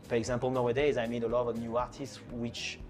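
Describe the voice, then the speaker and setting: A man's voice: mid-range voice, level tone, fast, soft background music. A man from France with a French accent, a DJ chatting casually in an interview, with lots of fillers and restarts. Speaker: DJ and producer guest.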